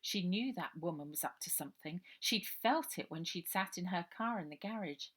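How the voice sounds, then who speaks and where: A woman's voice: fairly low pitch, animated, moderate pace, a bit bright. A woman author narrating her own children's novel aloud, as an unedited read-through.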